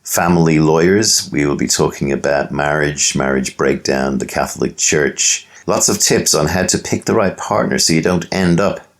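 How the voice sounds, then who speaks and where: A man's voice: rumbling low, normal speed, hardly any pauses. A man with an Irish accent hosting a podcast, talking casually to listeners and guests. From Dublin, Ireland. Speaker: podcast host. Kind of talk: casual podcast introduction and interviewing.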